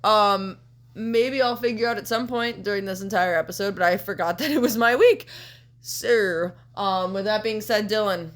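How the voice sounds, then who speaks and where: A woman's voice: medium pitch, moderate pace. A young woman in her twenties hosting a casual podcast, a bit congested and sniffly, rambling with lots of ums and cheerful swearing. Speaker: podcast host.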